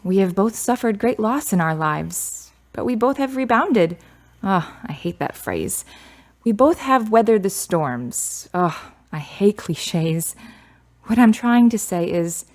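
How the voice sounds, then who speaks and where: A woman's voice: medium pitch, darkish tone, close to an everyday voice. Woman acting candid, grieving young woman. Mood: earnest, reflective. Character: grieving adopted daughter near thirty, candid and restless. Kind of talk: radio drama acting.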